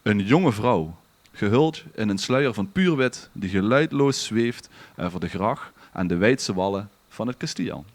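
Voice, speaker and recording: A man's voice: fairly low pitch, animated, a little slow, hardly any pauses. A man with a Limburgish Dutch accent telling a scripted story in a theatrical way as a podcast co-host. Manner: dramatic, bantering with co-hosts.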